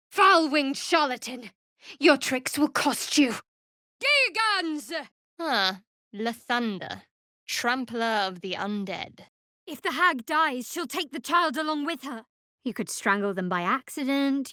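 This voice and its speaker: A young woman cleric of a dark goddess for a fantasy video game. Her voice sits a little high, and her delivery is guarded, wry and sardonic. The recording is very dry, with no room sound. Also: no room sound. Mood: fierce, then dry, curious. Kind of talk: dramatic video game voice acting. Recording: very dry close studio recording.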